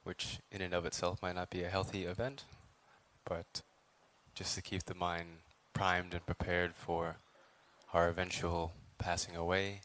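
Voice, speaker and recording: Low, even-toned man guiding meditation. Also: poor audio. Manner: measured, with long pauses between phrases. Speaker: meditation teacher, Buddhist monk. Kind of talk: guided meditation.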